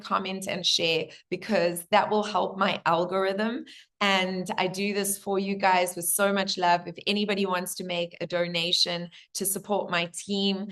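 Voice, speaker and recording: A woman with a hoarse voice, talking viewers through spiritual guidance in an earnest, gentle way and calling them guys. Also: mid-range voice. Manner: addresses viewers as guys, many fillers. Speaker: spiritual coach.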